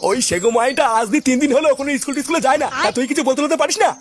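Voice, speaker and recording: A man's voice: very high-pitched, very fast, faint music behind. A man voicing a fussy, agitated older father figure in a comedy cartoon dub, fretting and scolding. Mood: grumbling. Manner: fretful, scolding.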